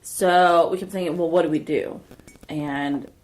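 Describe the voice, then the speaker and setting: Low-voiced woman telling a work story. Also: unhurried, poor audio. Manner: chatty storyteller, animated in quotes. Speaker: podcast co-host. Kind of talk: casual podcast chat.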